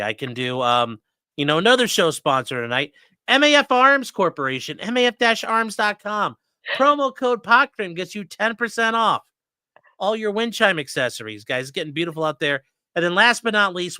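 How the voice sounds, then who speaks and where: A man's voice: sits high, pushed and bright, no room sound. A man hosting a livestream, joking through sponsor reads and giving blunt, sarcastic opinions. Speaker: livestream host, defense attorney. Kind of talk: casual livestream commentary.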